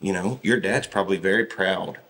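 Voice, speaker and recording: Gravelly deep-voiced male podcast host. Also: a little fast, pushed and bright, low-quality recording. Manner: brief affirming interjections, gravelly low voice. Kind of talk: casual interview.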